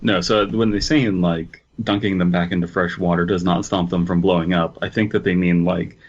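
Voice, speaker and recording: Man, deep, husky, muffled lo-fi sound, podcast co-host, jokey. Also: steady tone, a little fast. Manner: jokey, reads story text aloud. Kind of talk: casual podcast banter.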